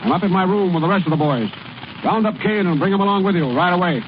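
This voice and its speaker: An actor playing a scheming baseball manager, bossy, slangy and brisk, in 1930s radio comedy style. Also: male voice, high-pitched, lightly acted. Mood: bossy, exasperated. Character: gruff, scheming baseball team manager, a man. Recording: muffled, noisy old radio broadcast.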